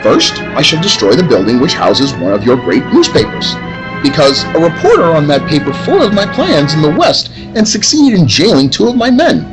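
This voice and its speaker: A man's voice: on the high side, sing-song, moderate pace, music in the background, low-quality recording. A man acting a grandiose, gloating villain bent on ruling the world, in an old-time radio drama style. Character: male megalomaniac mad villain seeking world domination.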